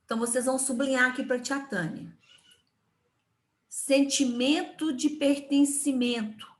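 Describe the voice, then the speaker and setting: Slow, patient Brazilian woman teacher. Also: high-pitched, fairly even intonation, echoey room. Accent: Brazilian Portuguese. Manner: slow, patient classroom explaining. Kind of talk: online history lesson to children.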